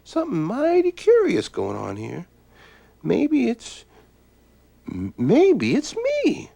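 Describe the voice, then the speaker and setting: A man voicing a tricky, boastful male rabbit in a storybook tale, with a high, sing-song squealing voice. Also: wildly swooping pitch, slow, vocal fry, dark tone, exaggerated voice. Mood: puzzled. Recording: guitar playing behind.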